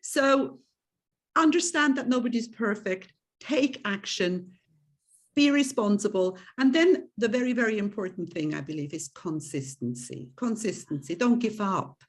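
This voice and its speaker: A woman's voice: medium pitch, animated, unhurried. A woman from Switzerland, a guest in an interview, speaking earnestly and repeating key points for emphasis. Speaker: guest, coach and author. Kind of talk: interview conversation.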